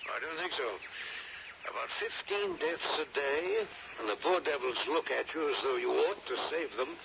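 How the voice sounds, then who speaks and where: A British colonial doctor for an old radio play, blunt, wry and inquisitive. The voice is creaky and animated, caught on a dull tape with clear background noise. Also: male voice, fairly high pitch, measured pace. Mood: weary, wry. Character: brisk, dry-humored British colonial army doctor. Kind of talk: old-time radio drama acting. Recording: muffled, noisy old radio drama tape.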